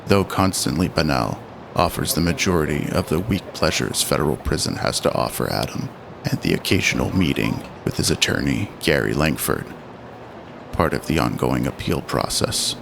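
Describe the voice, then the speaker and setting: A man's voice: very deep voice, lively intonation, moderate pace, creaky, noisy background. A man delivering scripted narration for an audio roleplay drama.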